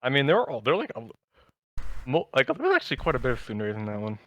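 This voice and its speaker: A man's voice: mid-range voice, wildly swooping pitch, fast, a bit bright. A man co-hosting a podcast, chatting casually and telling stories in an enthusiastic, rambling way. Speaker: podcast co-host. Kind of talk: casual chat about anime.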